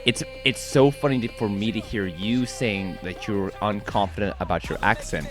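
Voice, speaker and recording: Animated Californian man hosting, music behind. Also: fairly low pitch, normal speed. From United States. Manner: animated, laughs often, many fillers. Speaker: podcast host. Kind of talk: casual interview chat.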